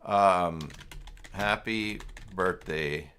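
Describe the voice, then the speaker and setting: A man hosting a casual live software tutorial, thinking aloud in an informal, unscripted way as he works through the steps. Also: low voice, drawn-out words, smooth. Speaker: livestream host and software tutor.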